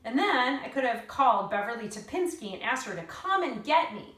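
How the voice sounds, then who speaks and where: Earnest, indignant storybook girl, voiced by a woman, emphatic and solemn, animated pitch, echoey room. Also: fairly quick. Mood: earnest, wistful. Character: earnest, indignant young girl narrating her troubles. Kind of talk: expressive read-aloud of a children's novel. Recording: roomy echo, distant mic.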